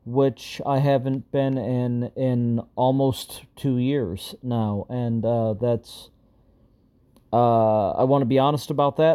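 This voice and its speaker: A man hosting a casual solo podcast update, talking in a rambling, self-deprecating way full of fillers, his voice a little raspy and strained. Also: mid-range voice, mellow. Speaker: podcast host.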